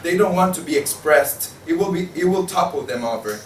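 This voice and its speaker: A man's voice: fairly high pitch, lively intonation, fairly quick, distant mic, natural voice. A man playing a jaded, disillusioned journal editor in a table read of a stage play, stumbling now and then over his lines. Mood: weary, bitter. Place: indoor room, table read. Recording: echoey room from afar, faint noise.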